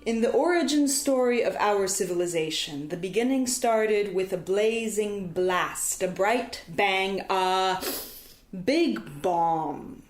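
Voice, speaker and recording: A woman storyteller delivering poetic scripted narration in a solemn, deliberate way, with dramatic phrasing and emphatic repetition. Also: mid-range voice, expressive. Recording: echoey, reverberant sound with music behind. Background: music.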